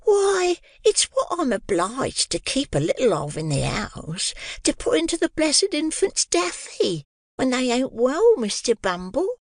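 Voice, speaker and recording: A woman plays a wheedling middle-aged nurse of orphans, all gushing sweetness and put-on humility in an old working-class dialect. Her voice leaps up high and swoops all over the place. She drags her words out slowly, and the sound is dry and close. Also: sing-song, no room sound, full character voice. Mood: fawning. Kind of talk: dramatized classic novel reading.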